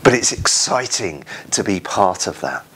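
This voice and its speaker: A man in his forties with an English accent, a church minister giving an earnest, informal sermon in short, halting phrases, recorded indoors on a lapel mic. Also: low voice, sing-song, bright, forceful tone, breathy edge, poor audio. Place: indoors, small room at home.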